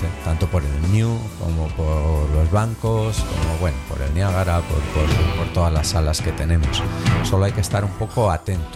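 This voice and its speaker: A middle-aged man with a Cantabrian Spanish accent, hosting a casual radio chat in a relaxed, slangy way. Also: low-pitched. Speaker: radio host. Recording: music playing under the voice.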